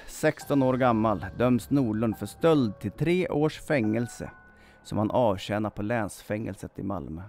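A man in his thirties reading a scripted true-crime story in a measured, dramatic storytelling manner. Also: mid-range voice, darkish tone. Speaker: storyteller, channel host. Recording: music under the voice. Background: background music.

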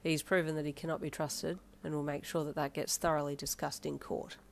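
A woman's voice: low-pitched, level tone, fairly quick, creaky. A woman reading a kind police officer. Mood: reassuring.

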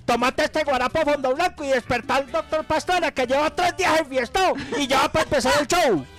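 A sly, festive Colombian football boss in a comic radio soap. The voice is very high, with exclamatory paisa slang, and music plays clearly behind it. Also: male voice, fairly quick, fairly bright tone. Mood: dismissive. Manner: exclamatory, drawling slangy catchphrases.

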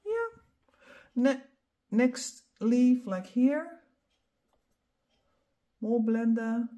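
A middle-aged Dutch woman talks through her painting in English, her second language. She speaks unhurriedly, with a mellow, soft tone, explaining each step calmly as she demonstrates. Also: on the high side, very slow, lo-fi sound. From Netherlands. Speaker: art instructor. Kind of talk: painting tutorial voice-over.

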